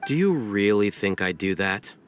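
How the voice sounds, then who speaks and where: Alhaitham, low-voiced, sardonic man, an actor's role. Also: lively intonation, soft background music, natural voice. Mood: mocking. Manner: sardonic, measured, aloof. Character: Alhaitham, dry, smug, unbothered young scholar man. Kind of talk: video game voice acting.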